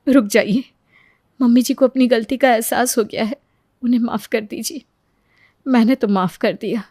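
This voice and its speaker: A woman acts out a long-suffering young daughter-in-law in a moral story. The voice is high, plaintive and earnest, recorded close and dry. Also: steady tone, normal speed, a little mellow, no room sound, lightly acted. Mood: forgiving. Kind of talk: Hindi moral story voice acting.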